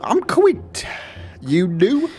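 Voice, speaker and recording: A man's voice: sits high, huge pitch swings, drawn-out words, darkish tone, husky, exaggerated voice. A man voicing a short, suspicious, wheezy fantasy shopkeeper who keeps tagging his questions with "eh". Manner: wary, tags questions with "eh". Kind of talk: fantasy audio drama.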